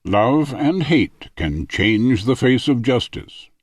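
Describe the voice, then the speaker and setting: A man narrating a philosophical audiobook in a formal, measured manner. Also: mid-range voice, sing-song, dry recording, studio quality. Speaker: audiobook reader.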